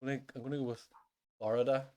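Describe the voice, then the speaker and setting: A man with a Northern Irish accent chatting casually as a music podcast host, in a halting way that circles back to stress his points. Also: medium pitch, little pitch movement, darkish tone, smooth. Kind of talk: casual chat.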